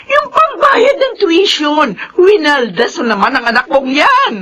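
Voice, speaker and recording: Distraught mother, high wildly swooping voice, pleading, shrill, radio drama. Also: very animated, unhurried, crowd noise. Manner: shrill, emotive. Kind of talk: Filipino radio drama acting. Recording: noisy radio drama scene.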